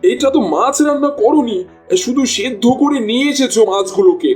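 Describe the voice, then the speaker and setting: A high-pitched village husband from a cartoon, acted by a man. He grumbles and exclaims, easily exasperated with those around him. Faint music plays behind the voice. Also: lively intonation, a little fast, darkish tone. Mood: angry, scolding. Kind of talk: Bengali cartoon dialogue.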